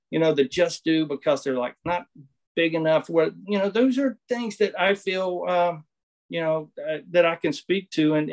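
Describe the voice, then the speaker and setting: A middle-aged man from Southwest Virginia speaks in a slightly raised voice. He talks loosely in an interview, circling back, restarting sentences and leaning on fillers as he reminisces. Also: fairly high pitch. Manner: rambling, many restarts and fillers. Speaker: guest, former baseball pitcher. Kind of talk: casual interview storytelling.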